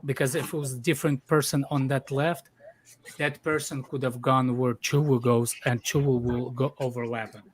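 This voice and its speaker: A man with a mid-pitched voice who cuts into the conversation and often stalls with hesitant pauses while making his case. A faint hiss of noise sits under his voice. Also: steady tone, poor audio. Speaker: podcast co-host. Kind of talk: casual football debate.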